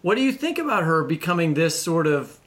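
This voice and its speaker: A woman's voice: low voice, big pitch swings, brisk. A woman co-hosting a conversational interview, informal and given to teasing interjections. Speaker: podcast co-host.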